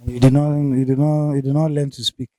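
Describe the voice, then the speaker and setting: A man's voice: mid-range voice, little pitch movement, a little fast, warm tone, no room sound. A Nigerian man interviewing a local, asking probing questions and repeating answers back, switching into Pidgin and Igbo. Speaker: interviewer and channel host. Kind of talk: field interview, casual questions.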